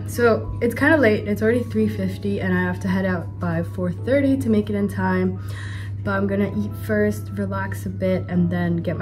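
A young woman talks casually into a phone held at arm's length at home, chatty and upbeat. Her throat is slightly sore from a cold she is getting over. Background music plays clearly underneath her voice. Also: medium pitch, darkish tone. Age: young adult. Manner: chatty, upbeat, lots of "so yeah" and "like". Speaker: student vlogger. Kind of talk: casual day-in-the-life vlog. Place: home room with blinds and a bathroom. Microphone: phone or camera at arm's length.

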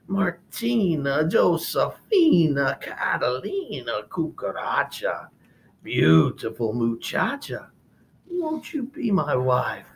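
Male narrator voicing a gravelly, sly lizard, hissing, storybook. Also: lively intonation, very slow, fairly bright tone, full character voice. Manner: hissing, creeping, low. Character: sly cold-blooded male lizard, menacing.

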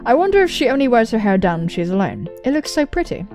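A young woman hosting a let's play, reading a visual novel aloud and commenting on it with excitable, sweary exclamations. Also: fairly high pitch, fast. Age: young adult. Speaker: gaming video host. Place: indoor room at home, on webcam. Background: game soundtrack music.

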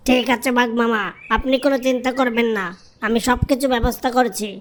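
A woman's voice: a little high, fairly even intonation, brisk, slight background noise, close to an everyday voice. A woman voicing a loyal, eager animal nephew, earnest and deferential, in a cartoon. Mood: eager, indignant. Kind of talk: cartoon character voice acting.

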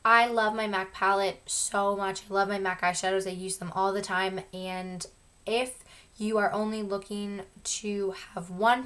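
A young woman reviewing her favorite makeup products with a hoarse, croaky voice, talking with gushing enthusiasm to a camera in her bedroom. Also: mid-range voice, fairly bright tone. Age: young adult. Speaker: beauty vlogger. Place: bedroom at home. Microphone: camera in front at close range.

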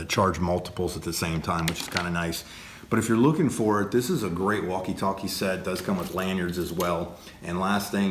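A middle-aged man with an American accent talks through a product in a casual, conversational way. His voice is low-pitched and his delivery is unscripted and explanatory. There is noticeable background noise, as in an everyday recording made at home. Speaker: product reviewer. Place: open-plan dining room at home, indoors.

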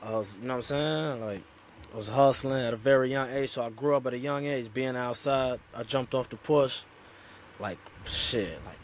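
A man's voice: mid-range voice, normal speed. A man in his twenties, born in Sudan and raised in Australia, talking as a guest over a video call in laid-back street slang. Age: 20s. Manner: laid-back street slang, constant "you know what I'm saying". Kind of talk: casual interview talk. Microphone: headphones on, video call.

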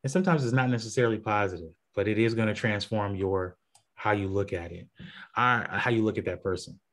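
Man, low voice, casual astrology talk, filler-heavy, online meetup host. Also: fairly quick, a bit bright. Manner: casual, many fillers and restarts. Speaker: astrology presenter.

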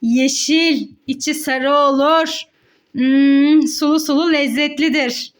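A young woman playfully hosting a children's game as a mother, encouraging and coaxing in an animated, sing-song way. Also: high-pitched, slow.

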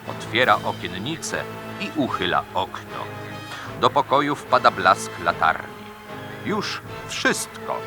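A man narrating scripted true crime in a theatrical, suspenseful manner with dramatic pauses. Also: lowish voice. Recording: music bed behind the voice. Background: music.

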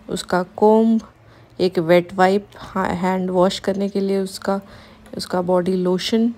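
A woman narrates her family vlog in a mellow, relaxed voice. She sits slightly low for a woman and talks casually, as if catching up with friends. She sounds to be in her thirties. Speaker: family vlogger. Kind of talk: casual vlog narration mixing Hindi and English.

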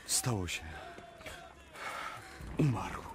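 Earnest soldier, lively swooping voice. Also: male voice, fairly low pitch, drawn-out words, a little hiss or hum. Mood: grim. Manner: dramatic, earnest. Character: grave, dutiful soldier reporting to his commander. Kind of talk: theatrical radio drama acting.

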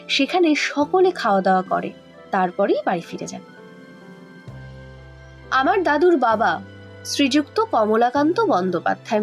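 A woman reading scripted first-person narration for a dramatized audio horror story. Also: on the high side. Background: faint background music.

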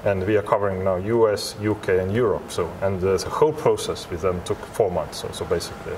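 Low-voiced man, halting, over venue noise. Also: steady tone, a little mellow. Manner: halting, repetitive non-native English phrasing. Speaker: interviewed software company representative. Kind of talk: interview answers. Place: large glass-walled expo hall, indoors. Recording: clear ambient hum of large venue.

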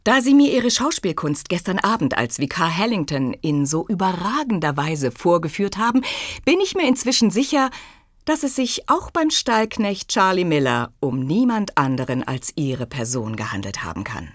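A woman's voice: lowish voice, sing-song, normal speed, dry recording, clean studio sound. An actress playing a clever, self-possessed young woman in a German audio drama, poised, formal and faintly teasing. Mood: playful, proud.

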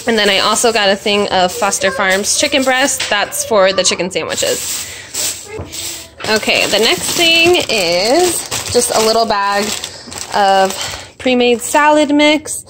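A woman's voice: mid-range voice, lively intonation, measured pace. Chatty young woman in a noisy kitchen. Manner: chatty, rambling, many fillers and self-deprecating laughs. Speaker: vlogger. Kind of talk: casual grocery haul chat. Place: home kitchen.

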